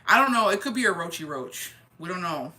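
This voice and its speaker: A deep-voiced woman from Texas chatting casually and gossiping on a livestream, slangy and loose, dropping in Spanish phrases. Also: lively intonation, brisk, bright, forceful tone. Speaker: livestreamer reading viewer comments.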